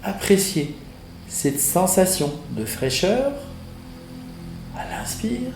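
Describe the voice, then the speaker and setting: A man with a fairly high voice guides a relaxation session very slowly. He gives short, measured instructions with pauses between them. The recording is roomy and echoing. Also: sing-song, a little mellow. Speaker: yoga nidra instructor. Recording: roomy echo, music behind the voice.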